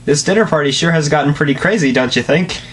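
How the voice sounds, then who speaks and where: A man's voice: on the high side, quick pace, fairly bright tone, slightly rough. A man voicing a flustered female pony from a story, read in his own plain voice with an awkward edge.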